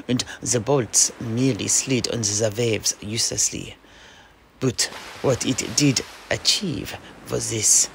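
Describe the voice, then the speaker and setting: A gravelly mercenary knight, acted by a man, recounts a fantasy sea tale. His delivery is theatrical but halting, pitched in an ordinary male range, with faint noise behind him. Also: fairly bright tone. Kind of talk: in-character fantasy audio play narration.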